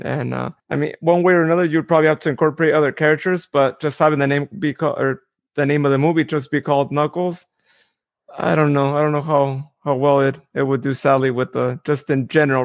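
A man with a slightly high, gravelly voice that stays flat and even, heard close and dry. He speaks haltingly on a podcast, restarting his sentences often. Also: no room sound. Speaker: podcast co-host. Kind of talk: casual podcast chat.

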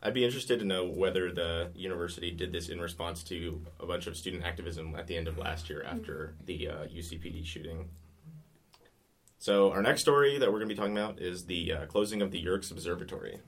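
A young man hosting a student news show from a recording booth, reading stories in a steady scripted way. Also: sits low, fairly quick.

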